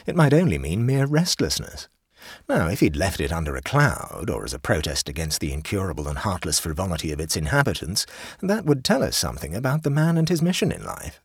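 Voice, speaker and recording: A man reading an audiobook, voicing a languid, witty young gentleman with a dry, drawling manner. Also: deep voice, big pitch swings, a little fast, husky, no room sound, exaggerated voice. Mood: sardonic. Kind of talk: audiobook character voice.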